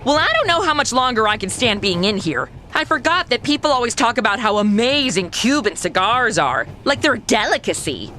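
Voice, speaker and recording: A woman's voice: a little high, sing-song, fairly quick, few pauses, bright, forceful tone, slight background noise. An actress playing a sassy, flippant modern woman in a comedy audio drama, sarcastic, chatty and full of complaints. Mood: complaining. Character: sassy, flippant modern woman stuck in 1950s noir Cuba.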